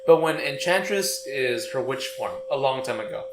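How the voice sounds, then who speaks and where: A man's voice: medium pitch, lively intonation, moderate pace. A young man co-hosting a podcast, offering amused, nitpicky comments in casual chat. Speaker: podcast co-host. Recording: echoey room with audible hum.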